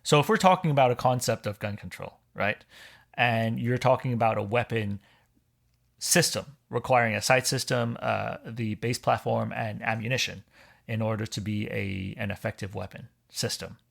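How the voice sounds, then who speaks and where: Guy from hong kong, low voice with some fry, measured podcast guest chatting. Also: fairly bright tone. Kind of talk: casual conversation.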